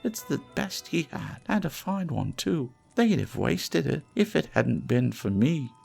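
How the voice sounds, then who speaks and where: A man's voice: a little high, sing-song, unhurried, vocal fry, darkish tone, slightly rough, soft background music, voice pushed up, heavily acted. A man voicing a coarse, cold-hearted, brazen scavenging woman in a Victorian storybook reading. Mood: scornful, defensive. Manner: brash, unashamed Victorian working-class talk.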